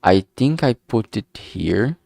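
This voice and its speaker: A man with a thick Brazilian Portuguese accent talks slowly and unhurriedly, his voice sitting fairly low. He rambles as he thinks aloud, full of fillers and restarts, recorded close and dry with no room sound. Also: lively intonation, vocal fry, darkish tone, no echo. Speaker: game developer streamer. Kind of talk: casual think-aloud stream commentary.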